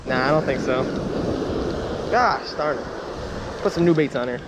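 Excitable man fishing in loud surf. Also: mid-range voice, normal speed. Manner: chatty, self-mocking, excitable when a fish seems near. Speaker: fishing vlogger. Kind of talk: self-deprecating casual vlog narration. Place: beach surf, outdoors. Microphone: action camera. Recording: windy outdoor beach audio with surf noise. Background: wind and crashing waves.

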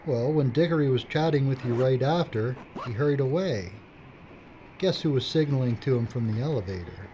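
A man's voice: medium pitch, animated, moderate pace, mellow, natural voice. An actor playing a wry, unflappable cameraman in an audio drama. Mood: matter-of-fact. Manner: wry, laid-back. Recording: dull, noisy audio drama recording.

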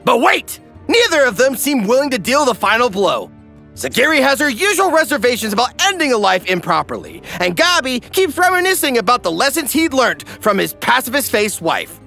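Wildly animated, high-pitched male narrator. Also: moderate pace, gravelly, soft background music. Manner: theatrical, wry, emphatic. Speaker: recap narrator. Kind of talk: scripted comedic narration.

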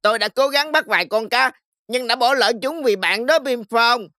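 A man voicing a distressed animal character in a children's cartoon, plaintive and forceful. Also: very high-pitched, normal speed, a little hiss or hum.

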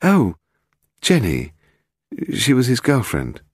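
A man's voice: medium pitch, wildly swooping pitch, slow, slightly rough, no echo, studio quality, voice pushed up, heavily acted. A man voicing a chatty, slightly flustered book publisher in an audiobook.

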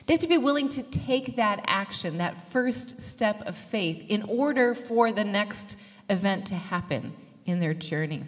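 A woman in her thirties preaching a sermon into a handheld mic, lively and joking, gesturing as she talks. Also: mid-range voice. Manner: lively, gestures broadly, jokes and laughs with the congregation. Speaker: pastor. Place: church sanctuary. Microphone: handheld microphone. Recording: long reverb of a church hall.

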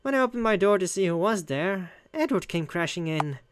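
A woman's voice: lowish voice, lively intonation, a little fast, background noise, natural voice. A woman reading as a puzzled young woman who narrates her inner thoughts in a visual novel. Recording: loud music behind the voice.

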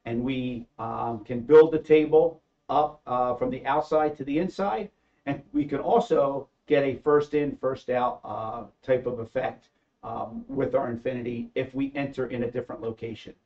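A man's voice: mid-range voice, normal speed. A middle-aged man talks through how a machine was invented, stopping often on ums and uhs. His voice sits mid-range and sounds a little dull, as if recorded on a modest studio setup. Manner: hesitant, many fillers. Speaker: company engineer, co-inventor. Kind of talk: casual panel discussion. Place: studio set with backdrop.

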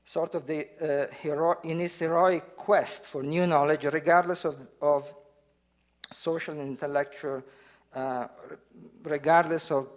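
Italian-accented man giving a lecture. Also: fairly high pitch. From Italy. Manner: hesitant, many fillers and restarts when speaking freely. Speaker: guest lecturer. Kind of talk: academic lecture, partly read aloud. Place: lecture hall.

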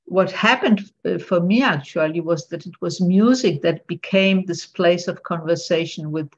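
A woman's voice: on the low side, normal speed, slightly rough. An older woman from Poland, a guest on a video call interview, speaking earnestly with many small restarts and repeated words. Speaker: guest author. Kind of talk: casual interview chat. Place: bright room at home. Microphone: earbuds on a video call. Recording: dull lo-fi video call audio.

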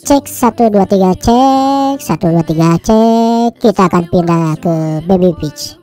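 A man counting mic checks through a voice changer that makes him sound squeaky, like helium or a baby. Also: unhurried, mellow, faint music behind. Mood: testing. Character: squeaky helium-high chipmunk voice. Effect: helium and baby pitch voice changer.

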